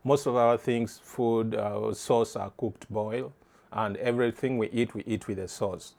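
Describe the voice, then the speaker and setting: A middle-aged man from East Africa answering interview questions in a halting way, restarting phrases as he searches for words. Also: fairly low pitch, fairly even intonation, creaky, darkish tone.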